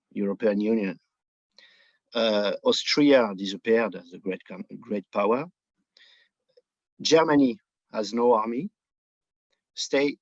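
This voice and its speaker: A man from France with a French accent, giving political commentary conversationally in halting non-native English, searching for his words. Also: lowish voice. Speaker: podcast guest, book editor. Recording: dull, roomy, lo-fi remote connection.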